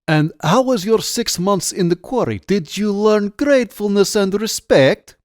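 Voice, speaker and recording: A man's voice: high-pitched, measured pace, no echo, studio quality, voice pushed up. A man voicing a menacing Russian party officer with a heavy accent, condescending and mockingly formal.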